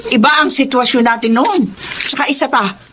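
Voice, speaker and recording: A woman's voice: sits high, moderate pace, sharp tone, slight background noise. A woman playing an indulgent but demanding middle-aged Filipino mother in a radio drama. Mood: coaxing. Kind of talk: Tagalog radio drama acting.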